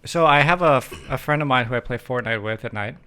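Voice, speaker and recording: A man's voice: medium pitch, fast talker, pushed and bright, clear, resonant tone. A man hosting a podcast, rambling in easy, casual talk. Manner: easygoing, rambling with restarts. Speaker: podcast host. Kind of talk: casual chat.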